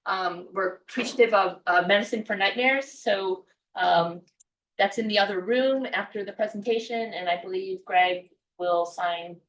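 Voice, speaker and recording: A woman's voice: mid-range voice, moderate pace. A woman giving the announcements and introductions at a literary event, with many ums and uhs. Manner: reads off a list, with frequent ums and uhs. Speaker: event organizer and development staff. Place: event room at a book club. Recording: echoey room from far off.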